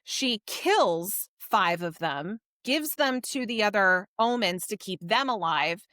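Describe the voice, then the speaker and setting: A woman's voice: mid-range voice, expressive, slow pace, bright, forceful tone, no echo, studio quality. A woman co-hosting a podcast, recapping a story in an informal, conversational way. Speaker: podcast co-host. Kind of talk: casual book discussion, recapping a story.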